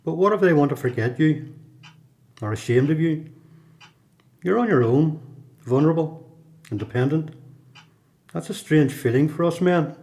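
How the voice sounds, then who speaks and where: A guarded male prisoner who knows the system , played by a man in a radio play. His Belfast voice sits a little high for a man, and he confides in plain, measured speech. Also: lively intonation, darkish tone. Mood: reflective. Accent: Belfast Northern Irish.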